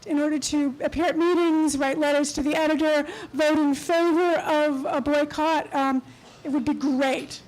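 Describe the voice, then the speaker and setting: A woman's voice: high-pitched, steady tone, moderate pace, darkish tone, rough recording. A woman speaking from the floor at a public Q&A, conversational and slightly wry. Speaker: audience member. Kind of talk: public Q&A comment. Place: lecture hall.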